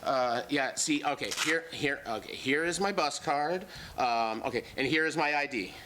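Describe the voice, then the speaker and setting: A man's voice: on the high side, moderate pace, background noise, close to an everyday voice. Male actor as troubled injured brother, childlike, stubborn, literal-minded, simple phrasing, stage play reading. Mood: earnest.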